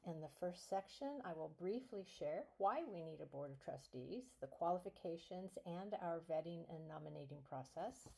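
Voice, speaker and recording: A soft-spoken woman chairing a nominating committee, presenting to an online meeting over a computer microphone. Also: on the low side, expressive, normal speed, vocal fry, hardly any pauses, husky, low-quality recording. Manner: soft-spoken, a little flustered. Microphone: computer mic on a video call.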